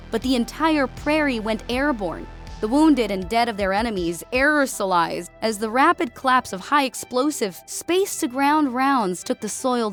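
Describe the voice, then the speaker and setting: A woman reading scripted narration as an audiobook narrator. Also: on the high side. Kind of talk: scripted narration of a sci-fi war story. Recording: music under the voice. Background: background music.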